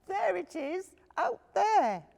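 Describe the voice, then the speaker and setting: Woman voicing a high, timid little bear cub. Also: sing-song, slow pace, vocal fry, warm tone, in a large hall, full character voice. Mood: insistent. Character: small frightened young male bear cub, timid and pleading.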